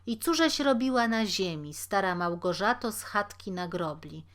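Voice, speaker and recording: A woman's voice: mid-range voice, lively intonation, moderate pace, close to an everyday voice. A woman voicing a haughty, self-important, judgmental male critic in a storybook tale, with a pompous, condescending manner. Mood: inquisitive, lofty. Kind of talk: storybook character reading.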